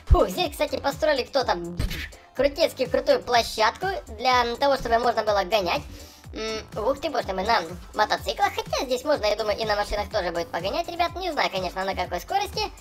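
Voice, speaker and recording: A man's voice: very high voice, big pitch swings, a little fast, a bit bright, heavily acted. A man voicing a cheerful young clown for small children, gushing and chatty as he narrates gameplay. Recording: voice over background music. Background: music.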